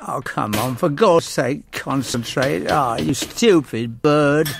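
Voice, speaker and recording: An actor playing a bumbling, hapless man who talks in an excitable, fumbling way. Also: a little high, slow, vocal fry, over loud music. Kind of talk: sitcom acting.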